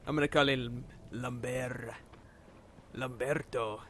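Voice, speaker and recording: A man's voice: medium pitch, fairly even intonation, slow pace, fairly bright tone, slight background noise. Playful man co-commentating on a game. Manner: playful, joking. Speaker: co-commentator on a let's play. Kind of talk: casual chat. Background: video game sounds.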